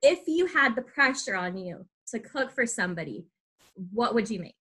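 A woman's voice: a little high, expressive, normal speed, pushed and bright, slightly rough. A young adult woman hosting a podcast, interviewing in a casual, chatty style. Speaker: podcast host and team staff member.

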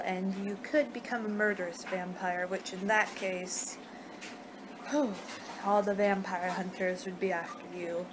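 Chatty young woman in noisy ASMR roleplay. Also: mid-range voice. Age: 20s. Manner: chatty, rambling, hesitant. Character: friendly young two-hundred-year-old female vampire hosting a guest. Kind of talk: ASMR roleplay, improvised in a casual conversational way. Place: small room at home. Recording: noisy home webcam recording.

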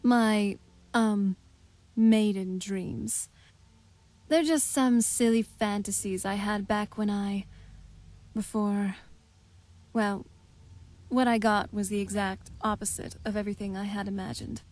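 A woman playing a wistful, shy, dry-witted young vampire woman in halting, intimate video game dialogue. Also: medium pitch, fairly even intonation, close to an everyday voice. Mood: hesitant, wistful. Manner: halting, soft-spoken, hesitant.

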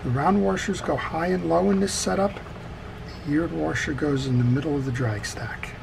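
A man's voice: on the high side, normal speed, creaky. A man giving a methodical, casual step-by-step repair tutorial as he works at a workbench. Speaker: fishing reel repairer presenting a tutorial. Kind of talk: hands-on instructional demonstration, casual step-by-step talk. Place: indoors at a wooden workbench. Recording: steady background noise.

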